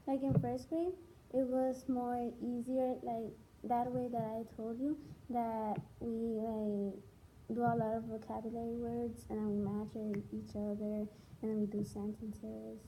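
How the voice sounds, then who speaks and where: A woman's voice: a little high, level tone, a little slow, mellow, slight background noise, poor audio. Hesitant girl. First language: Spanish. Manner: hesitant, searching for words. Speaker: student interviewee. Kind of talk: school interview.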